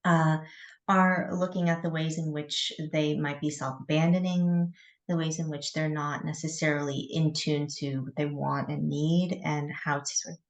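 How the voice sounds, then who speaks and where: Middle-aged female coach, low-pitched, measured, hesitant delivery. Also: level tone. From Michigan, USA. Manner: thoughtful, hesitant, with fillers and light laughs. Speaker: coach, podcast guest. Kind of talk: podcast interview.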